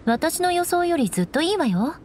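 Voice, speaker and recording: A woman's voice: very high-pitched, sing-song, fairly quick, background noise. A young woman acting a proud, composed noblewoman knight in an anime game, strict but caring, with elegant, instructing delivery. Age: young adult. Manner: poised, elegant, haughty, instructing.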